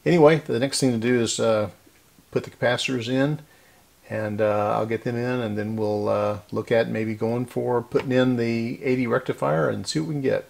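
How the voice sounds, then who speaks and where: A man's voice: medium pitch, fairly even intonation, normal speed, creaky, a little mellow. A man narrating a hands-on repair in a casual, methodical way, thinking aloud as he works. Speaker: host of a radio repair channel.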